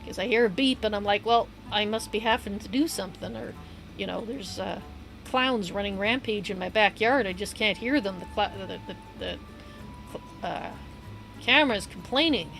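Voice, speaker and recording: A woman livestreaming a game, chatting in a loose, rambling way with a hoarse voice and easy laughs. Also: medium pitch, moderate pace, a bit bright, rough recording. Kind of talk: casual rambling chat while gaming. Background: upbeat game music.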